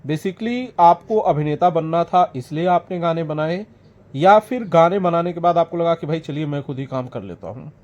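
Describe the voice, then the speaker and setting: A man's voice: a little high, lively intonation, moderate pace, a little mellow, highs cut off. A man working as a press interviewer, asking blunt, probing questions.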